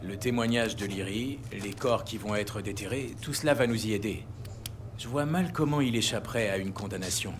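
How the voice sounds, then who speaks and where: An actor playing a composed, courteous justice official in a crime audio drama, speaking in a formal, articulate and measured way. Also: male voice, fairly low pitch, background noise, natural voice. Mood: composed, satisfied.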